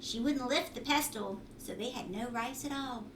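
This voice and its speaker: A woman's voice: a little high, moderate pace. A woman reading a children's storybook aloud like a teacher, explaining and checking in with listeners with questions. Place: at home indoors. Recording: roomy home recording, distant mic, faint noise.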